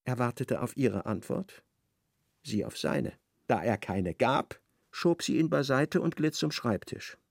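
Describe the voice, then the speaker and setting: Male literary narrator for radio drama: creaky mid-pitched voice, measured and precise. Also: animated, dry recording, clean studio sound.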